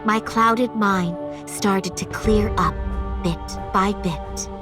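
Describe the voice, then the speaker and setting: A woman's voice: mid-range voice, fairly even intonation, slow pace, music in the background, lightly acted. A woman playing a determined young female vampire heir in a game, speaking earnestly and with open emotion. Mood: focused. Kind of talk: dramatic game voice acting with narration.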